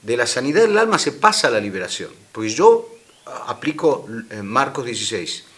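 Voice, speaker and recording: A man's voice: mid-range voice, sing-song, fairly quick, a bit bright. A man with an Argentine accent, a guest speaker, explaining his teaching in an earnest way in a studio interview. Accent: Argentine Spanish. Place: radio studio.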